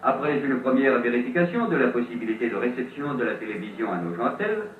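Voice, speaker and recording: A man narrating a scripted documentary in a 1950s French newsreel style, telling the story theatrically with dramatic pauses. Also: medium pitch, animated. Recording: old tinny band-limited film soundtrack, roomy, distant.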